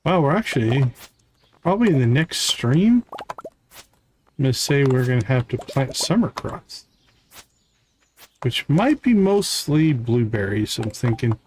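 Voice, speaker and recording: Slow-paced man musing over a game. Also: mid-range voice, sing-song, a little mellow, slight background noise. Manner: musing, talks in short fragments to himself. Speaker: game streamer. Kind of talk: casual live gameplay commentary, thinking aloud.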